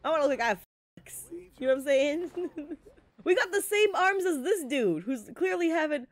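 High-pitched, animated woman gaming streamer. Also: normal speed. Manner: animated, slangy, profane. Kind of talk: casual gaming commentary to chat.

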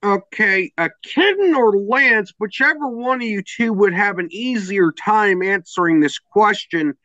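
High, sharp-voiced male host, dull recording. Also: lively intonation, unhurried, no echo. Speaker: podcast host. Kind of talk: interview questioning. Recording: dull, band-limited sound.

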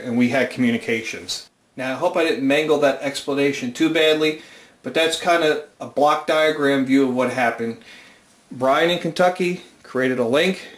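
The room rings noticeably around an American man's slightly high voice. He explains like a hobbyist host at a whiteboard, informal but methodical, laying things out step by step. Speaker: amateur radio channel host, presenter. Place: small indoor room at a whiteboard. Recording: roomy, reverberant indoor sound.

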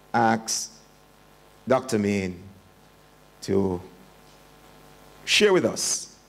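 An older man opens a conference session from a podium, unhurried and formal. His voice rings out with a long hall reverb behind it. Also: on the low side. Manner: slow, measured, formal. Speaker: moderator. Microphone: podium mic.

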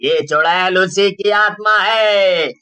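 An old male tantric holy man from a horror cartoon, voiced by a man. He pronounces with knowing authority, in a bright, fairly high voice and measured pace. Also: smooth, heavily acted. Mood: solemn. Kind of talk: Hindi horror cartoon acting.

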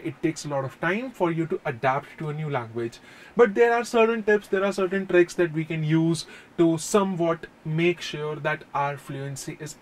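A man in his thirties with an Indian accent, giving a classroom lecture on exam speaking tips, talking animatedly and often saying "okay", recorded on a lapel mic in a classroom. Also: on the high side. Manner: animated, gestures at whiteboard, says "okay" often. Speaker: IELTS tutor. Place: classroom in front of projected screen.

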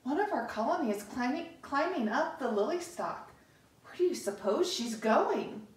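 A woman's voice: high voice, expressive, normal speed. A woman voicing curious, puzzled little water bugs from a children's storybook, with a wondering delivery in a room. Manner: wondering, questioning. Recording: echoey room, distant microphone.

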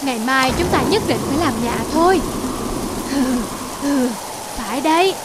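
High-pitched whiny cartoon monkey. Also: female voice, animated, normal speed, heavy background noise. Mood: resolved. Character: lazy, whiny cartoon monkey, easily swayed. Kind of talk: children's fable cartoon dubbing. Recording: loud music and rain behind. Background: music and rain.

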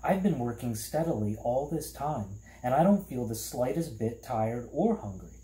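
A man's voice: medium pitch, animated, moderate pace, mellow, echoey room, close to an everyday voice, rough recording. A man voicing an earnest, polite and slightly hesitant young boy in a storybook. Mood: puzzled, earnest.

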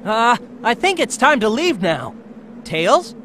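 A bright, cocky young hero. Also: male voice, very high-pitched, big pitch swings, takes it slowly, slight background noise, full character voice. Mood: awkward. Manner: breezy, confident. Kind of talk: video game cutscene acting.

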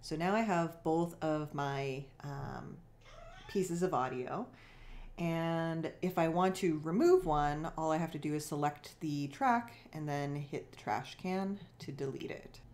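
Woman, low voice, slow-paced, casual screencast narration, informal step-by-step instructor. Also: darkish tone. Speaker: software tutorial presenter.

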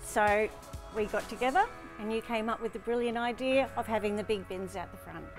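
A woman's voice: a little high, brisk. A middle-aged woman with an Australian accent, explaining a service in an upbeat, enthusiastic way in a promotional interview. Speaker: shop owner being interviewed. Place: stockroom of a shop, later outdoors by bins. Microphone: lapel mic. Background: background music.

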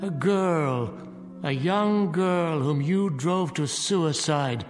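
An actor playing a judgmental reverend in a radio drama, grave and measured. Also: male voice, a little high, long reverb tail, music in the background. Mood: grave reproving.

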